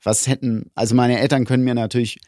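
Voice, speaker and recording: A man's voice: mid-range voice, little pitch movement, fast talker, darkish tone, dry recording, clean studio sound. A man from Germany co-hosting a casual podcast, explaining his views at length in a reflective way. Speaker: podcast co-host.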